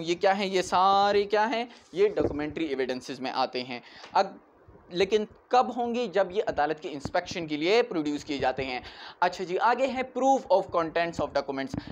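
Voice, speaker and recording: Young Pakistani man lecturing, noisy recording. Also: high voice. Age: 20s. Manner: didactic, repeats key points, checks with "right" and "okay". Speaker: law lecturer. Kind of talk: explanatory classroom-style lecture. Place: small indoor room in front of a whiteboard. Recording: clearly noisy amateur room recording.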